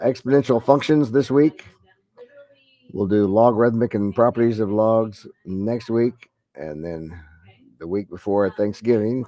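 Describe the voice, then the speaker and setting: Middle-aged male math teacher with a head cold, lowish voice, rambling online lecture. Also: mellow. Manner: rambling, many fillers and restarts. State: head cold, deep congested voice.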